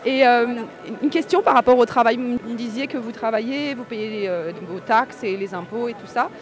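A woman's voice: a little high, moderate pace. A woman interviewer asking probing questions, with fillers between them. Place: outdoors at a street march. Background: crowd and music.

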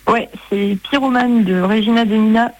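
A woman's voice: a little high, fairly even intonation, normal speed, a little mellow, rough recording. A woman chatting casually as a caller to a radio show, heard over the phone. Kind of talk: casual chat.

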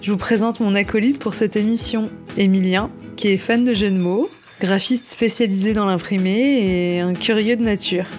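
A woman's voice: medium pitch, steady tone, normal speed, hardly any pauses, a little mellow. A woman co-hosting a podcast, presenting from a script with casual asides. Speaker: podcast co-host. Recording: music playing behind the voice. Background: music.

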